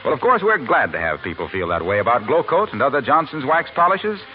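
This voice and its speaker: A man's voice: medium pitch, big pitch swings, fast, faint music behind. A male announcer reading a scripted 1940s radio advertisement in a polished, emphatic, sincere sales style. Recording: muffled vintage radio recording.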